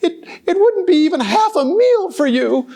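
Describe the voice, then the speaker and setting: A storyteller doing a tiny, squeaky, pleading billy goat. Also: very animated, moderate pace, warm tone, exaggerated voice.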